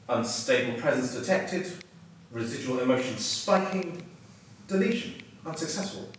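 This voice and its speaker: A man playing an officious simulator computer system in a staged reading, with a measured, deadpan announcing manner. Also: mid-range voice, lightly acted. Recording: echoey room, distant mic, background noise.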